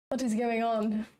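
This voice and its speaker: A young woman with a raspy voice, chatting casually and thinking aloud as she hosts a live trading stream. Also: fairly high pitch, warm tone, a little hiss or hum. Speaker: trading livestream host.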